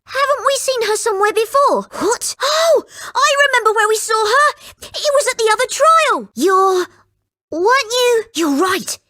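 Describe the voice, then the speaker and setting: Young boy, gentleman's apprentice in a video game: very high voice, lively swings in pitch, earnest and well-mannered. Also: measured pace, exaggerated voice. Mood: alarmed. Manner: earnest, polite, excitable.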